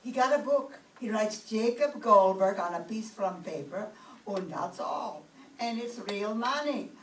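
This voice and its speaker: Lady acting a fussy yiddish accent mama, old radio comedy style, slow talker, echoey room. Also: medium pitch, expressive, lightly acted. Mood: chatty, excitable. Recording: roomy stage pickup, distant mic, faint noise.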